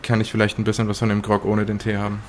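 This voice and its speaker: A man's voice: lowish voice, monotone, racing, slight background noise, natural voice. A man playing a reluctant heir in an improvised audio play, talking hesitantly with a dry, wry manner. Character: dry, reluctant male heir, uneasy about a treasure.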